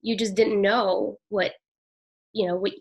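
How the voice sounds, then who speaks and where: A middle-aged woman from Peru, an emergency room nurse, giving a halting, soft-spoken personal account in an interview. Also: mid-range voice, flat delivery, creaky, breathy edge.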